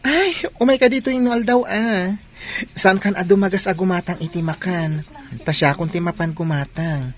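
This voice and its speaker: A woman's voice: fairly low pitch, normal speed, natural voice. A woman playing an effeminate, lovestruck and defiant son in an Ilocano radio drama, with a whiny, emotional delivery. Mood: sweet.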